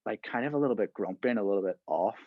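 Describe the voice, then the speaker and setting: A man in his thirties talks through a podcast conversation in a relaxed, rambling way, tossing in "right?" and "you know" as he goes. His voice sits on the low side. The recording is dry and somewhat muffled. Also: racing, dry recording. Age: 30s. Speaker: podcast host. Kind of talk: casual podcast chat.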